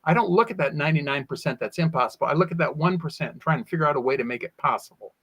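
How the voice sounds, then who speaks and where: A man's voice: fairly high pitch, lively intonation, very fast, fairly bright tone, breathy edge. A man talking at length as an interview guest, telling personal anecdotes and often acting out his own past words. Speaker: guest, sword swallower. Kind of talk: animated anecdotal interview storytelling.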